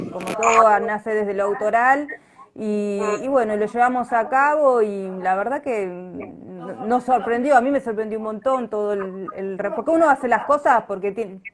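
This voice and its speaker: A woman with an Argentine accent speaking casually as an interview guest on a live internet call. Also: on the high side. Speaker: interview guest, writer-director. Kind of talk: casual chat. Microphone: internet live video call.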